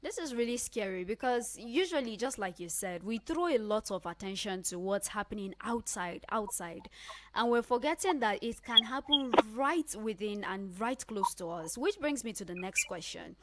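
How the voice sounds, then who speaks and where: A woman's voice: a little high, lively intonation, moderate pace, a bit bright, no echo. A woman with a Nigerian accent hosting a radio interview, talking conversationally and attentively as she leads the discussion. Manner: attentive, frequent short backchannels. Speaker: radio show host.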